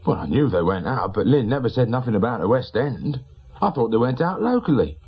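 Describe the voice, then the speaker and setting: An actor playing a grieving, insistent widowed shopkeeper in a radio drama, with a working-class English accent and plain colloquial speech. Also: male voice, medium pitch, sing-song, brisk, husky, muffled recording. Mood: grieving, puzzled. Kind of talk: naturalistic radio drama acting.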